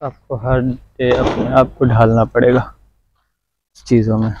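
A man's voice: medium pitch, flat delivery, a little slow, warm tone, highs cut off. A man vlogging as he walks outdoors, chatting to viewers and giving them casual, instructive advice. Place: outdoors, walking in the sun.

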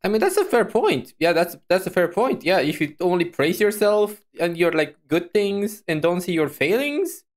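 A streamer giving casual reaction commentary in an animated, rambling, giggly way into a close microphone. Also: medium pitch, moderate pace, hardly any pauses.

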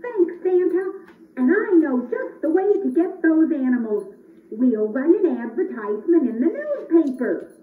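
Very high, eager little elf played by a woman. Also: animated. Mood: excited. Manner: earnest, exclamatory, drawn-out delivery. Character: eager, excitable young elf helper of Santa. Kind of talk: children's puppet show. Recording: roomy, distant, muffled phone-like audio.

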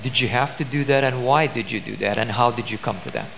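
A man's voice: medium pitch, quick pace, gravelly, a bit bright, slight background noise. An older man, a pastor, interviewing a guest on a church stage in an emphatic, conversational preaching manner.

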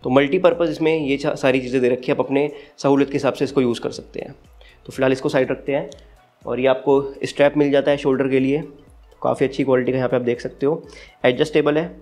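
A man's voice: mid-range voice, steady tone, fast talker, darkish tone, soft background music. A man with an Indian accent giving a casual, step-by-step unboxing review as the channel's host. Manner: explanatory, step-by-step.

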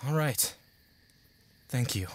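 A man's voice: mid-range voice, lively intonation, takes it slowly, a little hiss or hum. An actor playing a grieving, vengeful young man in an audio drama, speaking with emotional intensity. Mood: grave, resolute.

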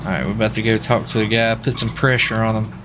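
A man's voice: on the low side, fairly even intonation, fast talker, background noise. An American man talking casually in a folksy, slangy way as he streams a police roleplay game.

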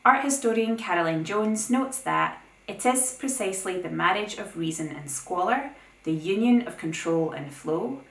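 A young woman hosting an art video, reading a scripted essay with opinionated, lively asides. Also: mid-range voice, moderate pace, reverberant, low-quality recording. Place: plain indoor room.

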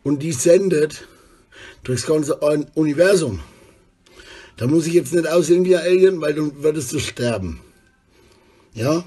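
A middle-aged man with a husky voice, rambling disjointedly in a selfie monologue recorded indoors on a handheld phone. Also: on the high side, unhurried, long pauses, mellow. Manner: rambling, disjointed, often tags sentences with questions. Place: small room indoors. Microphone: phone held at arm's length.

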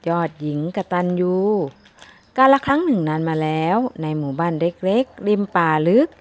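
A woman's voice: lowish voice, animated, normal speed. A woman narrating a scripted children's folktale as a storyteller. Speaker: storyteller, channel host.

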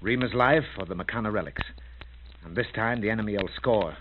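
An actor playing a brisk, shrewd investigator in a 1940s-style radio drama, clipped, probing and authoritative. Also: male voice, fairly low pitch, natural voice. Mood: assured. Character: brisk, commanding British investigator, shrewd and urgent. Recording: narrow, tinny, hissy old radio recording.

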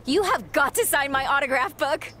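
A woman voicing an excitable, hyper fangirl wrestler in a video game. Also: high-pitched, normal speed, a little hiss or hum. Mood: giddy. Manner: bubbly, hyper, squealing.

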